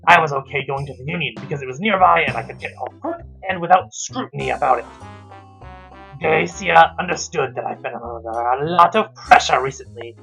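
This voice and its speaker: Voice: mid-range voice, gravelly, fairly bright tone, rough recording. A reviewer voicing a smug young husband and father who narrates his own story in a mock-earnest, self-congratulatory way.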